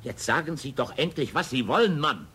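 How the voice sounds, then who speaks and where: A man's voice: fairly high pitch, animated, brisk, pushed and bright, slight background noise. An actor playing a brash, dismissive businessman in a radio crime drama, blunt and scornful. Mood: irritated.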